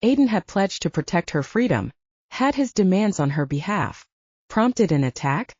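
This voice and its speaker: A woman narrating an audiobook in an expressive storytelling way. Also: medium pitch, moderate pace, slightly rough, no room sound. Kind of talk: scripted narration. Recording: dull lo-fi dry sound.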